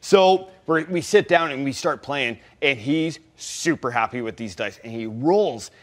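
A man in a casual panel chat, telling stories in an animated, loosely profane way and acting out what people said. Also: mid-range voice, unhurried. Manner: animated storyteller, profane, acts out quoted dialogue. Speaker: panelist on a tabletop gaming talk show.

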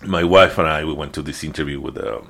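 Mexican-accented man, very low voice, relaxed storyteller with plenty of ums and restarts. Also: gravelly. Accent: Mexican Spanish. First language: Spanish. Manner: unhurried, frequent fillers and restarts. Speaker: comedian guest. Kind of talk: casual storytelling chat.

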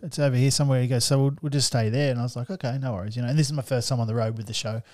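A man's voice: medium pitch, fairly even intonation, very fast, darkish tone, no echo, clean studio sound. A young Australian man telling stories in casual podcast chat, laid-back and swearing freely. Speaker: podcast host.

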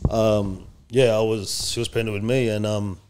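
Laid-back young man, low voice. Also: little pitch movement, normal speed, a little mellow, clear, resonant tone. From Indonesia. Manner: laid-back, rambling with fillers. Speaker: podcast guest. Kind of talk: casual chat.

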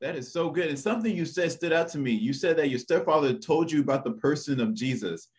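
A man's voice: on the high side, expressive, quick pace, a little mellow. A man hosting a conversational faith podcast, emphatic and a little preacherly, repeating phrases for effect. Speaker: podcast host. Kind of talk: conversational interview with preacherly scripture reading.

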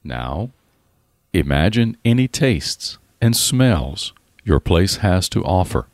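A man narrating a scripted guided relaxation in a calm, soothing, deliberate manner with pauses. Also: low-pitched, no echo, studio quality.

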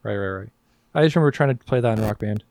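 A man's voice: fairly low pitch, very fast, background noise. A man hosting a casual chat show with jokey, informal banter, putting questions to his co-hosts. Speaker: podcast host.

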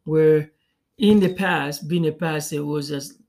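Higher-voiced Congolese man, thoughtful and halting. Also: steady tone, darkish tone. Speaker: guest, pastor. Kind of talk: reflective interview answers.

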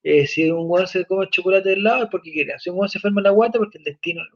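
A man's voice: high-pitched, fast talker, muffled recording. A man with a Chilean accent hosting a casual chat, rambling and joking in slang while he reads viewer comments. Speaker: podcast host.

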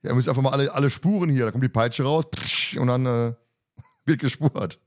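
A man's voice: mid-range voice, brisk, no room sound, clean studio sound. A man hosting a casual podcast, chatting and making announcements in a joking, teasing, talkative way. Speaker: podcast host and moderator.

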